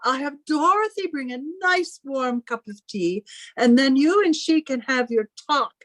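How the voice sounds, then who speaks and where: A woman's voice: sits high, lively intonation, dry recording. A woman playing a controlling, martyred, sternly doting elder sister in an old-time radio drama, with a patronising manner. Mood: curt, hurt. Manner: deliberate, patronising, smothering.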